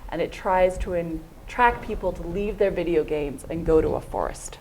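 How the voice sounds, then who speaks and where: A woman's voice: on the low side, normal speed, a little mellow, slight background noise, poor audio. A woman giving a thoughtful conference talk, often hedging with "I think" and "um". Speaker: panel speaker.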